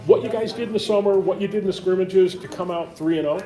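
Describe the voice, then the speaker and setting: A man's voice: high voice, fast, warm tone, faint music behind. A middle-aged man hosting a local sports interview show, asking long, rambling questions with lots of fillers. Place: high school gym. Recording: echoey gym with crowd noise.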